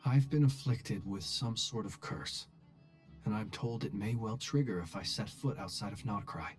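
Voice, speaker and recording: An actor voicing an unflappable, wry and courteous adventurer in a video game, with understated, formal phrasing. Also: male voice, lowish voice, moderate pace, faint music behind, close to an everyday voice. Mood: grave, resolute. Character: unflappable, wry, courteous male lighthouse-dwelling adventurer with a curse.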